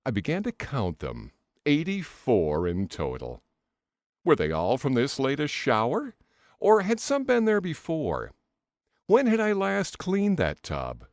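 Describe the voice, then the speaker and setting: A man's voice: fairly low pitch, no room sound, studio quality. A man reading a comic novel as an audiobook in a theatrical, first-person dramatic style. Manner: theatrical, expressive delivery. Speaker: audiobook narrator.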